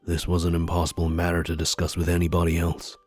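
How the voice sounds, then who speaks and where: An actor playing a solemn, conspiratorial mystic seer in a visual novel game, speaking gravely, deliberately and formally. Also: male voice, sits low, monotone, no room sound. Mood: solemn, persuasive. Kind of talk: scripted visual novel character dialogue. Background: background music.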